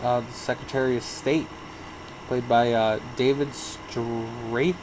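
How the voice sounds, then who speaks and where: A man's voice: mid-range voice, little pitch movement, a little slow, background noise. Laid-back man listing film credits. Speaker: podcast co-host. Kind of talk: casual movie review chat.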